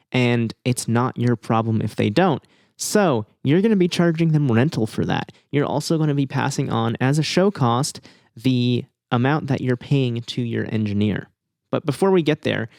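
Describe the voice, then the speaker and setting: A man's voice: mid-range voice, vocal fry, dry recording, clean studio sound. A man hosting an informal instructional podcast, explaining things conversationally and speaking straight to the listener. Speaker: podcast host giving advice.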